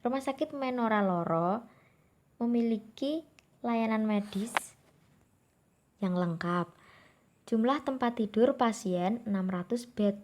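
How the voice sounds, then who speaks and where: A woman's voice: on the high side, darkish tone. A young woman from Java with a Javanese accent, working through exam questions in a friendly, informal way. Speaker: exam tutor. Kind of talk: explaining exam questions to viewers.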